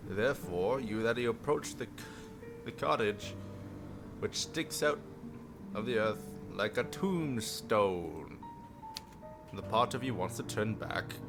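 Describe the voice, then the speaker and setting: Slow-paced jokey man streaming over music. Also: fairly low pitch. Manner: jokey, stumbles over the text he reads. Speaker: livestreamer. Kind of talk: reading a visual novel aloud with joking asides. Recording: music playing behind the voice.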